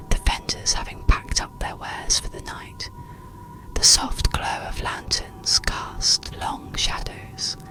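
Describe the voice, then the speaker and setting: Hushed, creaky whispering storyteller. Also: a bass voice, rough recording. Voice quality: breathy, unvoiced whisper with creak. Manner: slow, hushed, soothing storytelling. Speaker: narrator. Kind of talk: whispered ASMR bedtime story narration.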